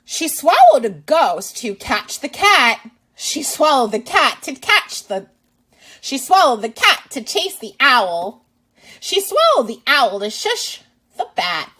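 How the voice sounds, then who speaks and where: A woman's voice: high voice, sing-song, slow pace, pushed and bright, breathy edge. A woman reading a storybook aloud to young children as a teacher and host, with exaggerated expression and asides to the listener.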